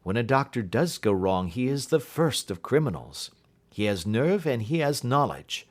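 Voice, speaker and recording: A man voicing a keen, assured detective in an audiobook, explaining things precisely and deliberately. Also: mid-range voice, big pitch swings, voice pushed up, close to an everyday voice. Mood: grim. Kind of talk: audiobook character dialogue.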